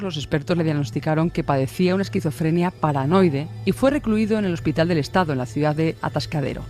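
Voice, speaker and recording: A man's voice: on the high side, a little mellow. A man narrating a scripted true-crime story as its narrator.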